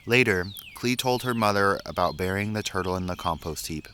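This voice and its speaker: A man reading a children's picture book aloud in a measured, expressive storytelling way, pausing between phrases. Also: low voice, slight background noise. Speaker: story reader.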